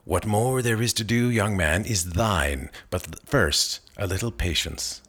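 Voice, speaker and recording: A man's voice: lowish voice, a little slow, fairly bright tone, slightly rough, heavily acted. A man voicing an aged, mysterious sage from a narrative poem, exclamatory and urgent in archaic verse. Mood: urgent, excited. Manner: exclamatory, archaic poetic speech.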